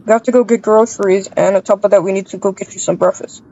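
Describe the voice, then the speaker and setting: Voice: medium pitch, fairly even intonation, quick pace, mellow, natural voice. Young husband and dad played by a roleplayer, affectionate, coaxing, repeats himself. Game roleplay, muffled sound. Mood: casual, caring. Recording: dull lo-fi microphone.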